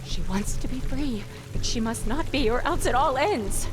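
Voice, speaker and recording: Spaced out obsessed prof woman in a game, highish voice, slow, music behind. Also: expressive. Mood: eerie, fixated. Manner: distracted, halting, cryptic. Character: dazed, obsessed woman professor speaking in riddles. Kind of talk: dramatic video game voice acting.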